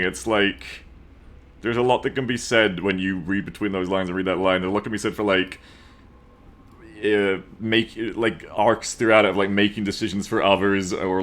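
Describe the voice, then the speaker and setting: Deep, bright-voiced man in casual stream chat. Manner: sweary, colloquial banter. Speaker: streamer hosting a co-op game stream. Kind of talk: casual chat about a game's story.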